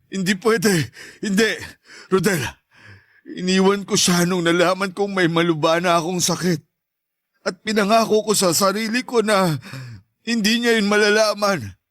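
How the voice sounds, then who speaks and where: A man playing a stern, proud, dying father in a radio drama, speaking gravely and insistently. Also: sits high, steady tone, normal speed, a bit bright, no echo, clean studio sound. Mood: grave, pleading resolve. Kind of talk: Tagalog radio drama acting.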